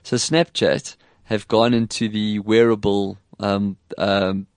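A middle-aged man with an English South African accent talks tech in a loose, chatty way, his voice sitting a little low. He is recorded close up in a dead, dry space with no room sound. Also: slow, dry recording. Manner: chatty, animated, puts on voices when quoting. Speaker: podcast host. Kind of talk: casual tech chat.

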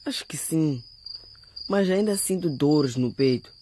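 Stubborn, unwell young boy, low for a woman, radio drama, faint chirping noise. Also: expressive, measured pace, mellow. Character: sickly, stubborn young brother trying to be manly.

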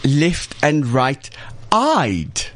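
Brief: male interview host, somewhat high voice, halting, restarts sentences. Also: wildly swooping pitch. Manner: hesitant, restarts phrases. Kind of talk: conversational interview.

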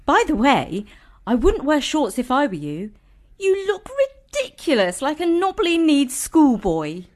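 A man's voice: very high-pitched, wildly swooping pitch, a little slow, pitched above the performer's own voice, heavily acted. A man voicing a bossy, indignant woman in a storybook reading, fussy and scolding. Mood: scornful.

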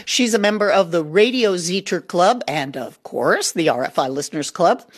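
A woman hosting a scripted radio programme in a chatty, expressive way. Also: medium pitch. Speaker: radio show host. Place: radio studio.

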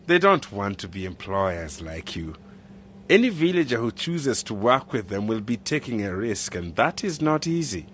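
An earnest, expressive village official. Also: male voice, lowish voice, slight background noise. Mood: reassuring. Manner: measured, earnest, polite. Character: principled, calm male village official. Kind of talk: radio drama acting.

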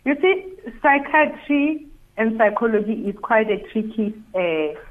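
A woman's voice: a little high, animated, measured pace. South African woman over a phone line. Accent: South African English. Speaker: psychologist guest.

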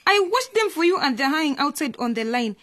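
A woman's voice: high-pitched, sing-song, fairly quick, fairly bright tone, a little hiss or hum. A woman playing a sweet, cheeky twelve-year-old girl in a radio drama. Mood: disgusted, teasing.

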